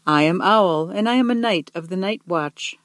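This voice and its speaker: A woman voicing a small, brave young male owl knight who speaks earnestly and softly. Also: lowish voice, normal speed, no echo, studio quality, natural voice.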